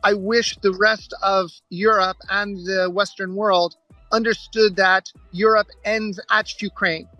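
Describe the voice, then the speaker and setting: A woman's voice: mid-range voice, flat delivery, takes it slowly, pushed and bright, no echo. A woman speaking as a spokesperson on a call, making emphatic, declarative political remarks. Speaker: former military spokesperson. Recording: dull lo-fi call audio with music.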